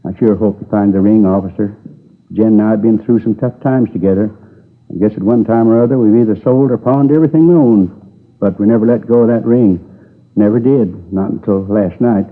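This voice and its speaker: An actor playing a shaken middle-aged robbery victim in a 1950s radio police drama, plaintive and husky. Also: male voice, fairly low pitch, brisk, lightly acted. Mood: pleading. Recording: tinny old radio broadcast.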